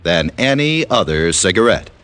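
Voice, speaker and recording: Very animated old-time male radio pitchman. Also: normal speed, heavy background noise. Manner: polished, salesmanlike. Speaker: radio announcer. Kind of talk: scripted 1950s radio ad announcing.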